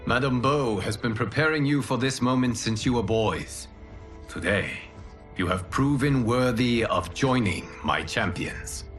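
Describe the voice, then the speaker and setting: An actor playing a calm fire god and mentor in a game cinematic, speaking in a formal, measured, authoritative way. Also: male voice, mid-range voice, soft background music, close to an everyday voice. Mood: solemn. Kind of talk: video game cinematic acting.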